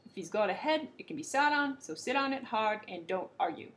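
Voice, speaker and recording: A woman's voice: sits low, steady tone, moderate pace, slight background noise, pitched below the performer's own voice. A woman voicing a bad-tempered creature king who roars orders and bullies. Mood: angry. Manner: roaring, bawling, bossy.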